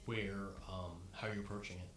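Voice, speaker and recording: Dungeon master in an echoey room. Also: male voice, low voice, level tone. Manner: describes scenes with pauses and fillers. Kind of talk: narrating a tabletop game. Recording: echoey room, music behind.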